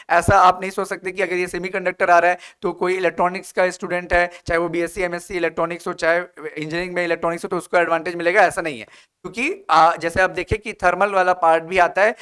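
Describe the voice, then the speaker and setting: A man's voice: high voice, a bit bright, clear, resonant tone. An Indian man teaching as an exam coach, explaining in an instructive way and often checking in with short tag questions. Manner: instructive, frequent check-in tags like "okay?" and "right?". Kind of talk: explanatory exam-prep lecture, Hindi mixed with English.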